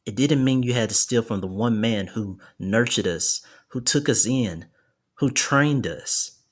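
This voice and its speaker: Earnest, lower-voiced martial arts mentor played by a man. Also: measured pace. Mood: annoyed, firm. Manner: earnest, firm. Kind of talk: dramatized audio story dialogue.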